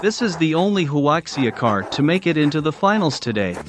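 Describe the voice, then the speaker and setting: An actor playing a keen motorcycle race commentator in a drama. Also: male voice, a little high, big pitch swings, brisk, some chatter behind, slight background noise.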